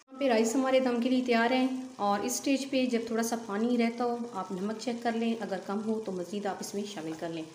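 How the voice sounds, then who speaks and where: Cooking host: woman, calm and methodical, slightly high voice, recorded in an echoey home kitchen. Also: fairly quick, few pauses, background noise. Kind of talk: step-by-step recipe voice-over.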